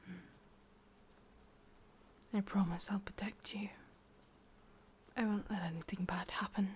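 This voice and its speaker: A woman with an English accent playing a sadistic, possessive witch in a whispered, breathy ASMR roleplay, commanding yet doting, with deliberate, intimate delivery. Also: medium pitch, fairly even intonation, a little hiss or hum, lightly acted. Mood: possessive, tender.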